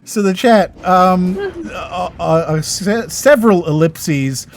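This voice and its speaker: A man's voice: high voice, slow pace, a little hiss or hum. A man hosting a podcast, teasing and pushing his co-host, reading out chat messages and often laughing. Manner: teasing, pushy, laughing, swearing. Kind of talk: casual podcast banter.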